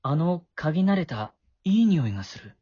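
A man's voice: fairly high pitch, very animated, moderate pace, dark tone, slightly rough, no room sound, highs cut off. A man acting a shy, awkward middle-school boy with a crush in an amateur voice drama, flustered and prone to stammering. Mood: wistful narration.

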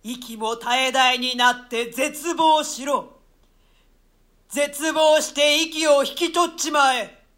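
The vengeful ghost of a murdered nobleman pronounces curses in a radio-play reading, voiced by a man. The delivery is very slow and solemn. The voice is very high and bright. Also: fairly even intonation, full character voice. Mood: cursing.

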